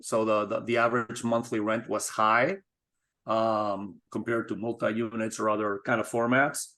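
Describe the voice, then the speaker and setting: A middle-aged man from Spain, a guest in an interview, talking in a measured, thoughtful way with frequent restarts. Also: lowish voice, level tone, sharp tone. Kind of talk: casual interview talk.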